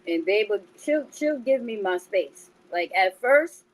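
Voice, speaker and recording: A woman from New York with a high, childlike baby voice who talks bluntly and with force. She is a podcast co-host in casual conversation. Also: lively intonation, slow pace, low-quality recording. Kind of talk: casual chat.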